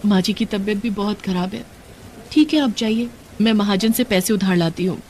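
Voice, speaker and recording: A woman's voice: medium pitch, normal speed, a little mellow, slight background noise, lightly acted. A woman voicing a dutiful, hopeful young village daughter-in-law in a story, with an earnest, pleading manner. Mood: worried, pleading.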